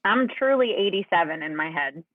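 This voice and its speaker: A woman's voice: lowish voice, expressive, normal speed, phone-quality sound, a crowd in the background. A young woman co-hosting a show, throwing in short joking remarks as casual banter. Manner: joking, brief interjections. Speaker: show co-host.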